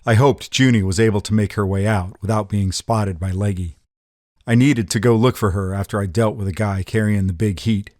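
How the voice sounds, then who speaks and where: Low-voiced man as a hardboiled noir tough guy. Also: steady tone, brisk, a little mellow, no echo, clean studio sound, natural voice. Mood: hardboiled, determined. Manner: slangy, hardboiled, matter-of-fact. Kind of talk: first-person noir audio drama narration.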